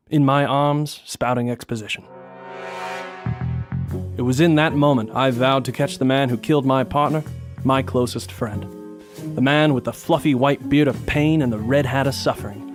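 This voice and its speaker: A man's voice: mid-range voice, moderate pace, pauses often, close to an everyday voice. A man playing a dogged, melodramatic detective, narrating a noir parody radio drama in an earnest, dramatic way. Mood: grim, vengeful. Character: brooding hard-boiled male detective narrator, melodramatic, dogged. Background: music.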